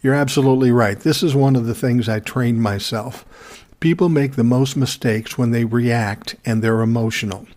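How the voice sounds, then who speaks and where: A man in his sixties with a cold and a scratchy, hoarse throat, hosting a podcast in a calm, measured, plainspoken way, sitting close to the mic. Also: mid-range voice. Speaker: podcast host. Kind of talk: casual solo podcast talk. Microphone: close to the microphone.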